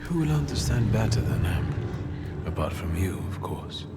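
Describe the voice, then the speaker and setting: An actor playing a brooding, resolute gentleman mystic in a dramatic video game, speaking tersely, gravely and deliberately. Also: fairly low pitch, big pitch swings. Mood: pensive, resolved. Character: brooding, resolute young gentleman thaumaturge in 1900s Warsaw. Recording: loud music under the voice.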